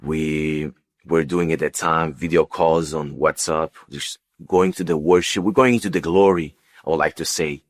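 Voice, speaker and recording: Deep-voiced man giving personal testimony. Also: steady tone, moderate pace. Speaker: guest sharing testimony. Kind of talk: personal religious testimony, casual storytelling.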